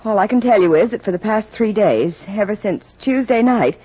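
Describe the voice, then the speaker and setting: A husky voice with lively swings of pitch, a guarded young woman in a 1940s radio mystery. She sounds hesitant and careful on a muffled old recording. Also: moderate pace. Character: uneasy, guarded young woman under police questioning.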